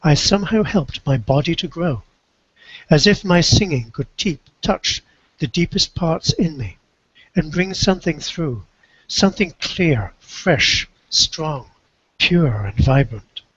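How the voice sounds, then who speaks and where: A man reading a first-person literary narrative aloud in a measured, reflective storytelling way, with a husky voice. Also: fairly high pitch, expressive, fairly bright tone, no room sound, lo-fi sound. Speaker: co-reader of a book.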